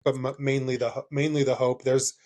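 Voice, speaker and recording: A man's voice: mid-range voice, little pitch movement, fairly quick, a little mellow. A man from California whose first language is Spanish, a band member answering interview questions in a loose, rambling way with frequent laughs and restarts. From California, USA. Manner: rambling, self-correcting, laughs often.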